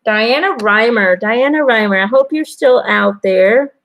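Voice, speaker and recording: Chatty, gravelly-voiced Belgian woman reading cards. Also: fairly high pitch, measured pace, a bit bright. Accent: American-sounding English. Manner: chatty, hesitant, repeating names and phrases. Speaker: angel card reader. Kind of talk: live-stream card reading. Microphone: webcam.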